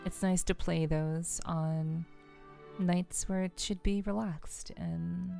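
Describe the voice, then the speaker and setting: A woman hosting a livestream, chatting casually and softly with her viewers in a relaxed, friendly way. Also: lowish voice, slow pace, creaky, soft background music. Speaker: livestream host.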